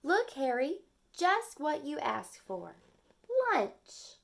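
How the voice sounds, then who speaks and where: A woman voicing an upbeat, helpful young male sea turtle in a children's storybook, with an emphatic, deliberate delivery. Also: high voice, very animated, vocal fry, fairly bright tone, pitched above the performer's own voice, exaggerated voice. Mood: cheerful. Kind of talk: children's storybook character reading.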